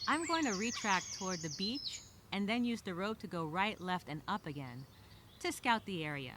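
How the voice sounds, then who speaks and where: A woman's voice: medium pitch, expressive, noisy background, natural voice. A woman voicing an anxious, wry castaway speaking her survival journal in a theatrical, conversational audio story. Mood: upbeat, brisk. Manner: conversational, theatrical, halting, with sighs. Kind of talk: solo dramatic monologue, audio journal storytelling.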